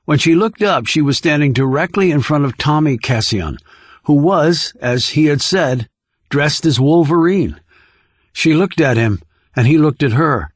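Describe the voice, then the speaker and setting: Guy reading a spy thriller audiobook, voice a bit on the high side, really dry studio sound. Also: animated, measured pace, no echo. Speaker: audiobook narrator. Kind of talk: scripted thriller narration.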